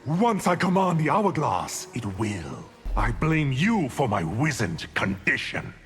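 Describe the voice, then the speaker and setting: An actor playing an arrogant, scheming, cold sorcerer villain in a video game, with a haughty, theatrical, menacing delivery. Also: male voice, medium pitch, big pitch swings, measured pace, in a large hall, soft background music.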